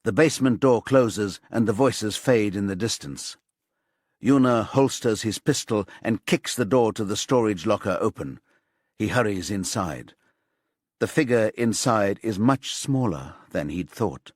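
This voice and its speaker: A man reading scripted thriller narration as an audiobook narrator, with measured, suspenseful storytelling. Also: lowish voice, expressive, no echo, clean studio sound.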